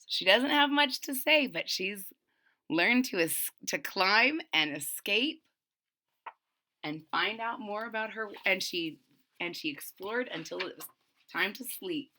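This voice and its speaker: A woman reading a rhyming children's picture book aloud, pausing for plain-spoken asides that explain the story to young listeners. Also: mid-range voice, expressive, bright, forceful tone. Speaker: read-aloud narrator.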